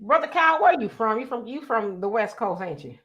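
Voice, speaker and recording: A woman hosting a casual live-stream chat, lively, calling her callers brother. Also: fairly high pitch, a little fast, muffled recording, crowd noise. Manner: lively, calls guests brother. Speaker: host.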